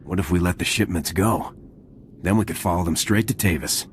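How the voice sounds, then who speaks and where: An actor playing a loyal, confident trooper lieutenant in a video game, speaking tersely and steadily. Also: male voice, low voice, a little fast, slight background noise, close to an everyday voice. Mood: resolute.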